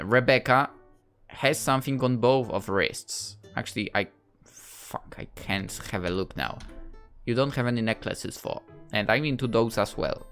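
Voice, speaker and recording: A woman's voice: very deep voice, a bit bright. A woman hosting a visual novel playthrough, speaking casually and thinking aloud in hesitant, trailing phrases. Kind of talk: let's play, reading game text aloud with casual asides.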